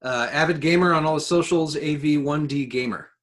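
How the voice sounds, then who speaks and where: A man's voice: on the high side, fairly quick, fairly bright tone. A man chatting as a podcast panelist, blunt and casually profane. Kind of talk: casual chat.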